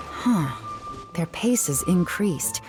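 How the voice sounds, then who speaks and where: A woman playing a cool, confident investigator and tracker in a video game, with a composed, self-assured and slightly teasing manner. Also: lowish voice. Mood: observant, assured. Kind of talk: scripted video game voice acting.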